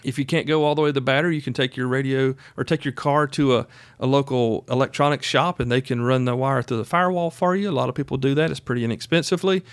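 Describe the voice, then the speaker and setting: A man's voice: mid-range voice, steady tone, quick pace, clean tone, dry recording. Man hosting a podcast, dry close sound. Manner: folksy, plainspoken, conversational asides. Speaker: podcast host. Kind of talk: casual instructional podcast talk.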